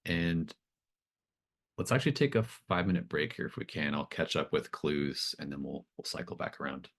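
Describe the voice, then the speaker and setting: A man's voice: extremely low pitch, animated, normal speed, gravelly, a bit bright. A man acting as game master, improvising narration for a tabletop game in a hesitant, thoughtful, informal way.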